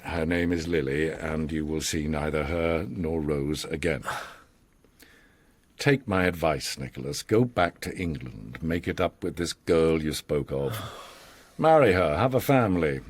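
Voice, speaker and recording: An actor playing an older, worldly and enigmatic mastermind in a radio drama, speaking in a theatrical, measured, formal and philosophical way with a husky voice. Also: male voice, rumbling low, expressive, no room sound, full character voice. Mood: dismissive, commanding. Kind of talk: radio drama acting, storytelling monologue.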